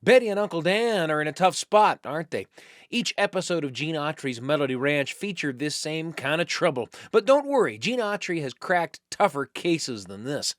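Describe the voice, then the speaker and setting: Animated American male radio host, higher-pitched. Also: moderate pace, dry recording. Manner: theatrical, folksy. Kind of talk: scripted, animated story presenting.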